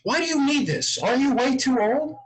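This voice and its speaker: Long-suffering grandson, high-pitched, serious, dry and exasperated; played by a man at a table read. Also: expressive, brisk, poor audio. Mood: dry, annoyed.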